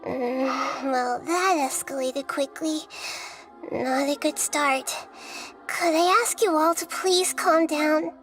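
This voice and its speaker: A woman voicing a small, wise child goddess in a video game, polite, gentle and measured. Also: high-pitched, fairly even intonation, faint music behind, rough recording. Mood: placating.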